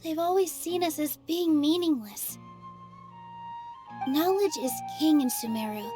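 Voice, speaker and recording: An earnest young theater dancer from a video game, with a very high, girlish voice. She speaks in a gentle, soft-hearted way, with light music underneath. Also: measured pace. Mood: apologetic, subdued.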